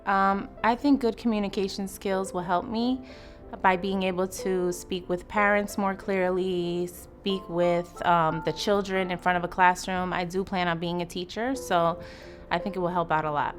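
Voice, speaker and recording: A woman's voice: on the low side, fairly even intonation, moderate pace. A woman with a New York accent, a student in an interview testimonial, confident and upbeat. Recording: soft music underneath. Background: background music.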